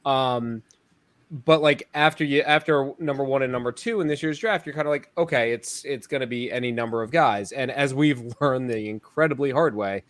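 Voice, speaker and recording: A man's voice: mid-range voice, moderate pace, a bit bright, dry recording. Wry male podcast co-host, dry sound. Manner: wry, rambling with fillers and chuckles. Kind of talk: casual sports podcast chat.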